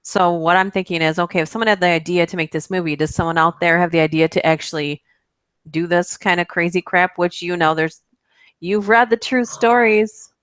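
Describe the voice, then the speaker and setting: A woman with a lowish voice, talking earnestly about an odd idea. The sound is dry and close. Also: steady tone, brisk, fairly bright tone, smooth, no echo. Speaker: guest. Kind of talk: casual group chat on a stream.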